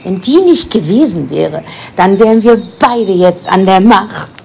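Villain witch, played by a woman: whiny, snappish, exasperated, slow delivery with big swings. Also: medium pitch, lively intonation, gravelly, dark tone, a little hiss or hum. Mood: bitter. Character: bitter exiled villain witch, grumpy and scheming. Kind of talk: audio drama acting.

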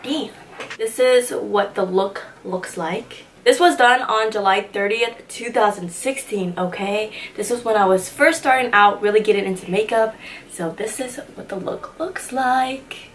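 A woman's voice: medium pitch, unhurried, a little hiss or hum. Young woman vlogger, a bit nasal from a cold, casual slangy chatter, talking close to the camera in a small room. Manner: chatty, slangy and self-teasing. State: stuffy nose from a cold. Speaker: beauty vlogger. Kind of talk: casual makeup tutorial chat.

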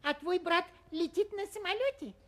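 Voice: very high-pitched, normal speed, a bit bright. An actor playing a small, homesick, polite and curious grasshopper in a children's audio fairy tale. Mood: curious, hesitant.

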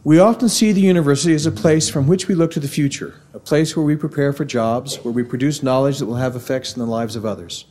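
A middle-aged man with a Missouri accent giving a formal devotional address at a university, in a measured, earnest lecturing manner, at a podium microphone in a large auditorium. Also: medium pitch, dark tone. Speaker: university speaker.

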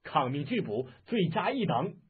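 Narrator playing a pompous officer; loud barked orders, high-pitched bursts, phone-like sound. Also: male voice, sing-song, brisk, slightly rough. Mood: barking. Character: blustering male imperial army officer. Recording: lo-fi phone-like audio.

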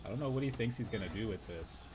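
A man in his thirties with a hoarse, raspy voice, chatting in a laid-back, unhurried way while streaming a game. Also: on the low side, lively intonation, noisy background. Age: 30s. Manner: laid-back, unhurried, drifting between game remarks and stories. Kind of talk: casual live-stream chat while gaming.

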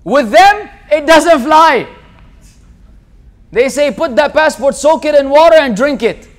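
A man with a strikingly high-pitched voice lectures from a table in a hall, speaking English with an American-sounding accent. He talks with lively energy and acts out the people he quotes. A small tabletop mic picks him up. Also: measured pace. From Lebanon. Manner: animated, gesturing with both hands, acts out quoted people. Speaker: Islamic lecturer. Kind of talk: public lecture. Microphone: small tabletop mic on a tripod.

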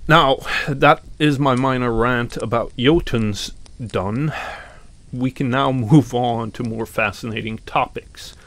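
Slow, gravelly-voiced Norwegian man. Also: mid-range voice, a bit bright, dry recording. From Norway. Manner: slow and deliberate, with pauses and some fillers. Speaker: podcast host. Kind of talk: reflective commentary on mythology.

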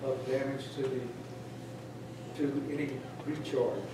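A man applying for a rezoning at a county meeting, speaking haltingly with many fillers and self-corrections. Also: medium pitch, fairly even intonation, noisy background. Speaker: applicant. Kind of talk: public comment at county meeting. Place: large county commission chamber. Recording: echoey chamber, distant mic, clear ambience.

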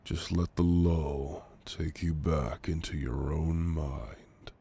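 Man playing a deep, slow, gentle shadow demon. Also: steady tone, gravelly, husky, heavily acted. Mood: soothing. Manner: soft, halting, playful, reassuring. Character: gentle, teasing male sleep paralysis shadow demon. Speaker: ASMR roleplay performer. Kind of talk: ASMR roleplay, intimate and slow. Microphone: close, dry microphone.

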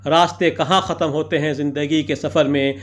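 Male vlog narrator, slightly raised pitch, ornate poetic delivery. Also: fairly even intonation, a little fast. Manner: flowery Urdu-laced phrasing with couplets and praise. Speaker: vlogger narrating a food outing.

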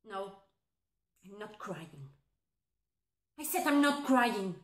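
High-voiced heartbroken young woman, played by an actress, echoey room. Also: big pitch swings, a little slow. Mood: hurt, defensive. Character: heartbroken young woman being dumped, feigning breezy indifference. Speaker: actress performing a monologue. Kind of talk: theatrical dramatic monologue. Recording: echoey, roomy sound.

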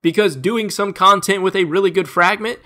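Bright high-voiced man, stuffed up. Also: moderate pace. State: stuffed up, congested. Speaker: gaming video creator. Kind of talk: scripted game tips voice-over.

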